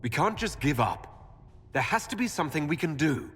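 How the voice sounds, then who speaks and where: An actor playing a defiant, earnest and direct heroic envoy in a fantasy video game. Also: male voice, fairly high pitch, very animated, fairly quick, fairly bright tone, slightly rough, heavily acted. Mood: urgent. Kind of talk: dramatic video game acting. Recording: long hall reverb, music behind.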